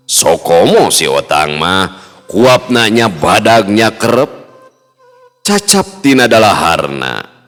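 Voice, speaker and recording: Very deep-voiced, slow male storyteller. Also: smooth. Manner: slow, lively melodic delivery. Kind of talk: traditional folk tale narration. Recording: long reverb, faint music behind.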